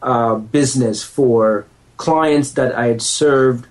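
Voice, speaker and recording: A man's voice: medium pitch, takes it slowly, rough recording. Easygoing man, laughing guest with restarts. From United States. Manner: self-deprecating, laughs easily, rambling restarts. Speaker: podcast guest, lawyer and entrepreneur. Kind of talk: relaxed conversational interview. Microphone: handheld microphone.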